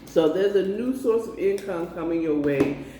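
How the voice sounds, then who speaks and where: A woman's voice: fairly low pitch, lively intonation, normal speed. An American woman giving a casual spiritual card reading, coaxing emphatically and repeating herself with frequent check-in tags. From United States. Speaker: tarot card reader. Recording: roomy, distant mic with faint noise.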